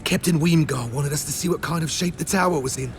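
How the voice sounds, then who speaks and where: A man's voice: a little high, fast talker, soft background music, lightly acted. A man playing a weary, dutiful wounded soldier scout in a video game, with a strained delivery.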